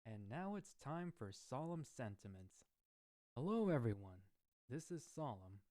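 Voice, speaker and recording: A man's voice: medium pitch, very animated, a little slow, mellow. A man hosting his own scripted discussion segment as a roleplay creator, speaking earnestly in formal wording.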